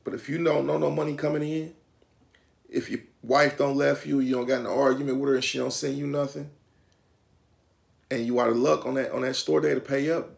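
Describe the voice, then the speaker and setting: A middle-aged man with a Detroit accent talks straight into a camera in a small room at home. His mid-pitched voice carries a heavy gravelly rasp. He explains the rules in a streetwise, instructive way, gesturing often with his hands. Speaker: vlogger. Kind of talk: casual talk to camera.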